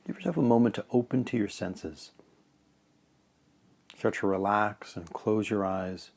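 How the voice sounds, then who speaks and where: A man leading a guided meditation in a calm, measured way, letting phrase ends trail off softly. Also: fairly low pitch, creaky, husky.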